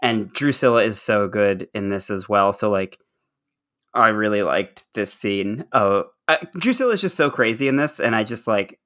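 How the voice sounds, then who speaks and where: A man co-hosting a podcast, talking casually and enthusiastically, often halting and repeating words. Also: lowish voice, expressive, fairly bright tone. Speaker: podcast host. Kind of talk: casual chatty discussion.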